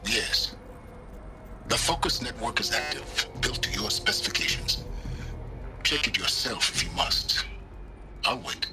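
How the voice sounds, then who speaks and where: An actor playing an enigmatic, calculating scholar who speaks in a measured, wry manner with deliberate pauses. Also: male voice, mid-range voice, over music, natural voice. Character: enigmatic scholarly male outcast, calm and calculating.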